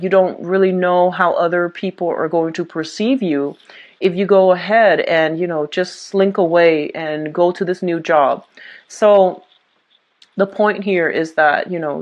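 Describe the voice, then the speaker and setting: A woman's voice: lowish voice, highs cut off. A woman giving a tarot reading to viewers, talking in a reassuring way with many fillers and restarts. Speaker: tarot reader.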